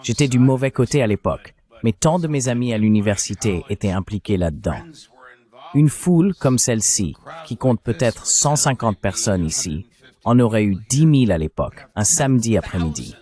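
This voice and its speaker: A man in his seventies speaks in a somewhat low voice with lively, swinging intonation. He poses questions in a political discussion, delivered as a translated voice-over. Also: normal speed, breathy edge. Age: 70s. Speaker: panel questioner.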